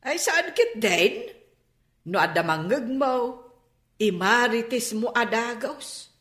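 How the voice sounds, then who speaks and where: Sing-song, sharp-tongued teasing woman. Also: fairly high pitch, very animated, slow, reverberant, heavily acted. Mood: teasing, scolding. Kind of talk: radio drama acting.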